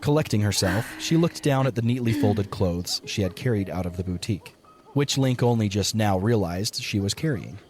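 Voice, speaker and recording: A man's voice: on the low side, expressive, normal speed, a little mellow. A man reading scripted third-person story narration as the narrator of an audio drama.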